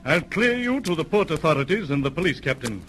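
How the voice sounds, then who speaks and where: Clipped, authoritative British police chief. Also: male voice, fairly high pitch, big pitch swings, fast talker, fairly bright tone. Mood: brisk, commanding. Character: brisk, determined British police superintendent leading a manhunt. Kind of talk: 1930s-40s radio drama acting. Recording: noisy, clicking vintage radio recording.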